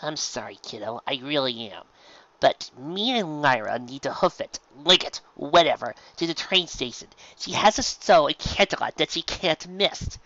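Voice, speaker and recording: A man's voice: on the high side, expressive, normal speed, bright, forceful tone, highs cut off. A man voicing an apologetic adult unicorn mare with a casual, pained way of talking, in a storybook reading.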